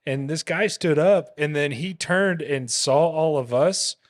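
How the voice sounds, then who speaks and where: A man co-hosting a podcast, telling bar stories in a relaxed, rambling way with restarts. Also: on the high side, unhurried. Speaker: podcast co-host. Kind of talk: casual podcast chat.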